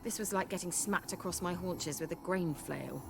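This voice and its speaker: An actress playing a vain, sharp-tongued sorceress in a video game, speaking in a haughty, wry, coaxing manner. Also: female voice, fairly low pitch, normal speed, vocal fry. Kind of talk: video game cutscene acting. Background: game soundtrack music.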